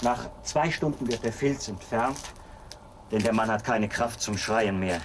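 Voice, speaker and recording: A man acts a zealous executioner in a radio drama, explaining his torture machine with cold enthusiasm. The voice sits at a middle pitch, and the recording has clear noise around it. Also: unhurried, close to an everyday voice. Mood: coldly enthusiastic. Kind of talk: German radio play acting.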